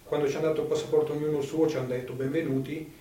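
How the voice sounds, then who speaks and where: A man's voice: a little high, level tone, rapid-fire, mellow. An older man recounting his own memories in a conversational way, as testimony. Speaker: witness giving testimony. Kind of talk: personal recollection, conversational storytelling. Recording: roomy, distant, noisy video interview sound.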